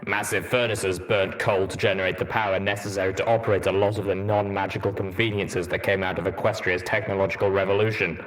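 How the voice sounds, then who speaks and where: A man voicing a gruff, grave armored warhorse veteran in an audiobook, blunt and deliberate, with a deep rumbling voice. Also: little pitch movement, fairly bright tone. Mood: informative. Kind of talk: audiobook character dialogue.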